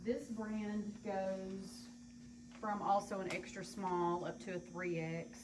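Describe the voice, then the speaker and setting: A woman's voice: medium pitch, level tone, slow. A woman in her thirties with a Southern American accent, a boutique owner answering questions plainly in her large store, filmed on a phone a few steps away. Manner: plain, matter-of-fact answers. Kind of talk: casual live interview. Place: large indoor clothing boutique. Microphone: phone camera a few steps away. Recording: store hum, audible background noise.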